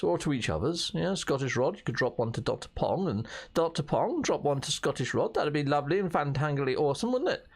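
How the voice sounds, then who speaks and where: A hoarse British man chatting through a game as its host, jokey and fond of playful slang and mild swearing. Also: a little high. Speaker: gaming channel host. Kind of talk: casual gameplay commentary.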